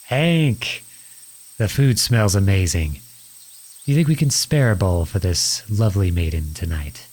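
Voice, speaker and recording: A man playing a kindly, teasing outlaw in an intimate ASMR roleplay, gentle and reassuring. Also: low-pitched, animated, a little slow. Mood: warmly inviting. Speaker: audio roleplay voice actor.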